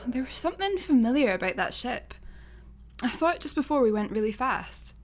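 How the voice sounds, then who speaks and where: A woman's voice: on the high side, sing-song, brisk. A woman playing an exasperated, conversational space traveller in a sci-fi comedy radio play.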